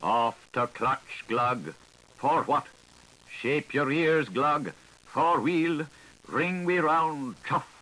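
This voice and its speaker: A man's voice: fairly high pitch, very slow, sharp tone, poor audio. A man narrating a literary audiobook in a theatrical, lilting, singsong manner. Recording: hissy 1980s audiobook recording.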